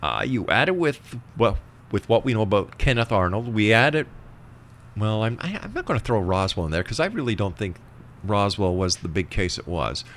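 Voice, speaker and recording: Male talk show host: low voice, widely swinging intonation, emphatic conversational delivery. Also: sing-song, moderate pace, fairly bright tone.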